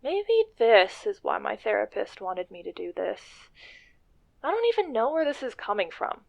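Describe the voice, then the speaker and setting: A woman acting in a radio play as a self-conscious businesswoman, speaking in a halting, wry, self-interrupting way. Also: mid-range voice, sing-song, creaky, muffled recording. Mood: uneasy, musing. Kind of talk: radio drama acting, halting confessional monologue.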